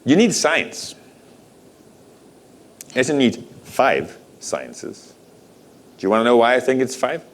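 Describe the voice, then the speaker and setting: A man's voice: medium pitch, sing-song, measured pace, long pauses. A middle-aged man from Denmark giving a conference keynote, asking the audience rhetorical questions in a conversational way. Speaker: conference speaker. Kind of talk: keynote talk.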